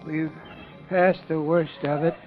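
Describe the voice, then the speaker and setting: An actor in a 1950s radio drama playing a big, weary, wary sailor with blunt old-time seafaring speech. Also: male voice, a little high, flat delivery, takes it slowly, soft background music. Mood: worn out. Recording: dull 1950 radio broadcast.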